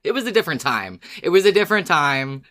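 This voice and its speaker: A man's voice: fairly high pitch, sing-song, fast, sharp tone. A man co-hosting a podcast, explaining things at length in casual conversation and laughing easily. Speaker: podcast co-host.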